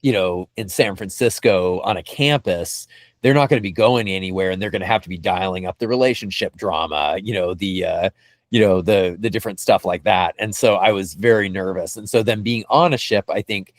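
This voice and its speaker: Male podcast co-host, lowish voice, dry recording, enthusiastic rambling chat. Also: fairly quick, no echo. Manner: enthusiastic, rambling, repeats words as he goes. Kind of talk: casual fan discussion.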